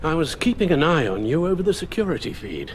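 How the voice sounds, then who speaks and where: Older british butler, formal and worried, very expressive, noisy ambience behind. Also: male voice, on the high side, quick pace. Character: loyal older male butler, anxious, fatherly, formal. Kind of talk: video game voice acting.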